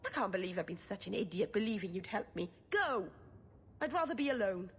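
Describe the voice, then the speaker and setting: A woman's voice: medium pitch, big pitch swings, a little fast, a little hiss or hum. An actress playing an earnest, defiant young female exiled mage in a video game, speaking with impassioned emotion. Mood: defiant, bitter.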